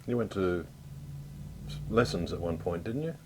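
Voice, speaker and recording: A man's voice: low-pitched, expressive, moderate pace, noisy background. A man interviewing his father, mostly asking short, prompting questions. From Australia. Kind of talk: casual family interview.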